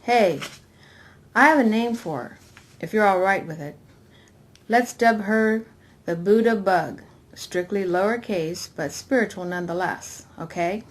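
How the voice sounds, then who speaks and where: A woman's voice: mid-range voice, unhurried, close to an everyday voice. Woman acting easygoing young commune woman. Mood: playful. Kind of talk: dramatic reading of novel dialogue.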